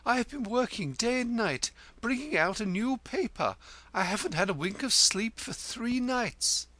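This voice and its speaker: A man's voice: extremely high pitch, lively intonation, moderate pace, sharp tone, voice pushed up, full character voice. A man voicing a vain, flowery poet lodger who talks theatrically and pities himself. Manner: flowery, theatrical, self-pitying. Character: florid vain self-pitying male poet lodger.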